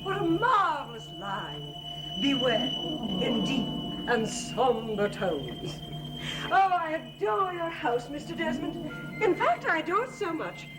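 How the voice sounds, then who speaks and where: Affected, playful stage diva, gushing soap opera delivery, high swooping voice, music behind. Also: female voice, very animated, takes it slowly, exaggerated voice, poor audio. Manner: grand, affected. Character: theatrical, gushing, playful visiting actress. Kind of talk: gothic soap opera acting.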